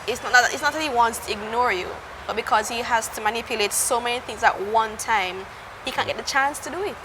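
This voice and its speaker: A woman's voice: a little high, a little fast, noisy background. A woman hosting a casual interview. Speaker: podcast host, interviewer.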